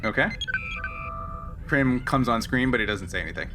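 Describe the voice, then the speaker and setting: A man narrating a tabletop game as game master, halting and thinking aloud as he improvises. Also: mid-range voice, lively intonation, background noise.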